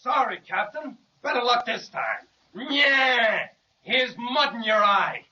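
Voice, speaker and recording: A man's voice: very high voice, lively intonation, takes it slowly, bright, forceful tone, heavily acted. An actor playing a dim, gleeful bully of a mud creature, an obedient henchman in an old-time children's radio serial. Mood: jeering. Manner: obedient, jeering, drawling. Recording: dull lo-fi old radio, faint noise.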